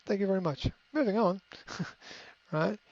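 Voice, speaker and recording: A man giving unscripted coaching advice in a reflective way, often pausing with fillers and restarting his sentences. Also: high-pitched, darkish tone, no echo. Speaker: coach answering a question. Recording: dry, slightly muffled recording.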